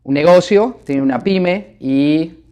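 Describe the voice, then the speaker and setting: A middle-aged man from Buenos Aires with an Argentine accent, a businessman calmly telling his life story in an interview. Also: fairly high pitch, unhurried, smooth. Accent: Argentine Spanish. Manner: calm, reflective, hesitant with fillers. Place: office.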